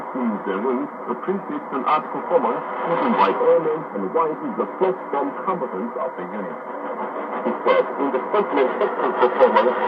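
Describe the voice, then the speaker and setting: A man's voice: medium pitch, far from the mic. A man reading a scripted news bulletin as an announcer, heard over shortwave radio. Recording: muffled, noisy shortwave radio, roomy rerecording. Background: loud radio static and noise.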